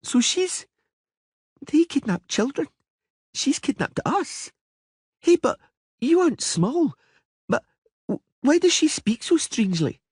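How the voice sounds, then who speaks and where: A male narrator voicing a headstrong, sniffly young princess who is petulant, demanding and quick to sulk, with a husky, whiny tone. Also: extremely high pitch, very animated, a little mellow, dry recording, clean studio sound, voice pushed up, full character voice. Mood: chattering. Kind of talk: audiobook character voice.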